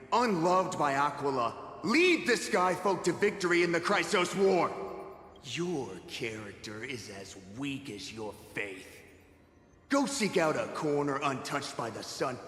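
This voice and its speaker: A proud sky-folk fanatic, speaks slowly in a high, swooping voice. Each proclamation rings with scorn. Heard in a roomy, echoing space. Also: male voice, a bit bright, exaggerated voice. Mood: contemptuous. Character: haughty zealous male sky-folk priest. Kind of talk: video game dub acting. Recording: echoey reverberant hall.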